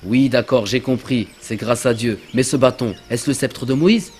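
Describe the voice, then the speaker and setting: A man's voice: mid-range voice, moderate pace, darkish tone, close to an everyday voice. A man voicing a greedy, cowardly traveling companion in a religious cartoon, given to sly muttered asides and jittery protests. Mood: curious, wheedling. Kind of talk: dubbed religious cartoon acting. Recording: music under the voice.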